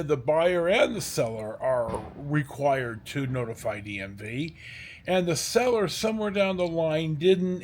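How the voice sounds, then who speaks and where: An older man with an American accent telling personal stories in a casual, rambling way, halting and digressing and acting out bits of dialogue. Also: on the high side, slight background noise.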